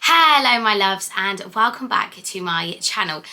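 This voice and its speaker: A young woman, slightly croaky, chatting upbeat and directly to viewers in a day-in-the-life vlog. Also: mid-range voice, expressive, measured pace, loud music behind the voice, poor audio. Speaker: vlogger and medical student.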